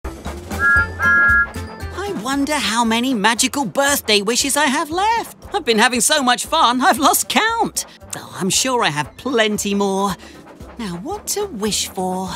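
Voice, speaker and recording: A woman's voice: fairly high pitch, expressive, takes it slowly, over music. A woman voicing a cheerful, helpful young boy steam engine in a children's cartoon, earnest and exclamatory. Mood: friendly, curious. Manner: earnest, wide-eyed, exclamatory.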